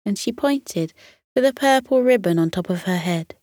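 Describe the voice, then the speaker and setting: A woman narrating a children's bedtime story in a gentle, drawn-out, expressive manner. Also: mid-range voice, no room sound, clean studio sound. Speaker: storyteller, podcast host.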